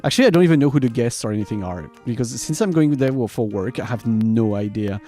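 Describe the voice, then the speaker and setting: A man rambling casually and informally to his livestream viewers, animated and loose in his wording. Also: mid-range voice, fast talker, faint music behind. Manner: animated, laughs often. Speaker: variety streamer and host.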